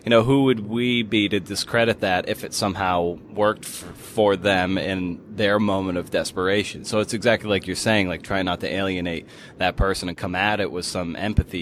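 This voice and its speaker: A man's voice: low voice, fairly bright tone, slight background noise. A man hosting a casual interview, talking in a thoughtful, rambling and informal way. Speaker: podcast host, interviewer.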